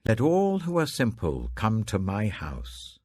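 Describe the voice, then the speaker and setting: A man's voice: on the low side, wildly swooping pitch, slow, darkish tone, husky, no echo, voice pushed up, exaggerated voice. A British man voicing Folly as an unruly, seductive woman luring passers-by in a scripture reading. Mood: enticing. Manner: enticing, luring.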